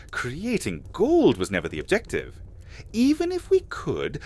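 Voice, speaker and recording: A man's voice: mid-range voice, darkish tone. A man voicing a scheming male vampire alchemist in a fantasy audio drama, speaking in a theatrical way.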